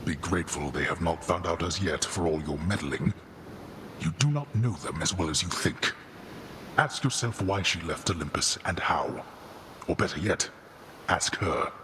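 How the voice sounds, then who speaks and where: Deep-voiced, imperious god of the dead. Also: male voice, a bit bright, slight background noise. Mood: cold, scornful. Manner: scornful, commanding, deliberate. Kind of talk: dramatic video game acting.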